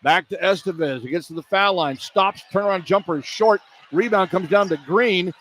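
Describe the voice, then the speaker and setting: A man's voice: sits high, normal speed. A man doing live radio play-by-play of a basketball game in short, clipped phrases as the announcer. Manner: clipped phrases, animated on big plays. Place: indoor college basketball arena. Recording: live arena broadcast with background noise. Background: arena ambience.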